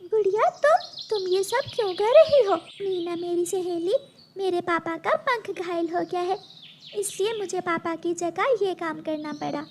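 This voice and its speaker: An eager, brave little girl bird from a children's cartoon. The voice is extremely high and childlike, cheerful and helpful. Chirping birds and faint ambience sit behind it. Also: unhurried, slight background noise. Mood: earnest. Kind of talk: Hindi children's cartoon dialogue.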